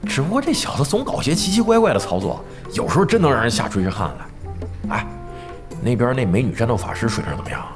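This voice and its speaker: Male actor as boastful middle-aged gamer, theatrical bluster, very animated delivery, radio drama, background music. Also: mid-range voice, fast talker, natural voice. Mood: defensive. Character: brash, boastful veteran male gamer, blustering and face-saving. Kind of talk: Chinese radio drama acting. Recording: music behind the voice.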